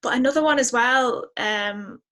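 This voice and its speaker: A woman's voice: on the high side, moderate pace, bright, forceful tone. A woman talking casually as an interview guest, reflective and enthusiastic about music. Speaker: guest singer-songwriter.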